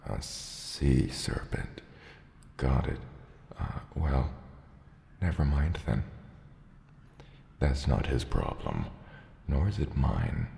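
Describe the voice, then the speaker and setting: Man acting as rumbling bottom-deep dragon dad, slow unhurried delivery, gentle mentor, gravelly, formal yet hesitant, ASMR roleplay. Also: full character voice. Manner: hesitant, measured, formal wording with soft asides. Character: gentle fatherly old mountain dragon, patient mentor to hatchling. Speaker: dragon father in audio roleplay. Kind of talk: ASMR roleplay, soft slow scripted monologue. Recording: roomy long cave-like reverb, faint noise.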